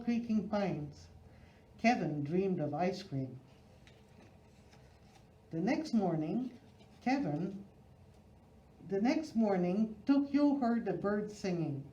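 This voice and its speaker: Woman reading a picture book, mellow and roomy. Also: mid-range voice. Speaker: storyteller reading a children's book. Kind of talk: expressive read-aloud of a children's picture book. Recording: roomy, slightly dull recording.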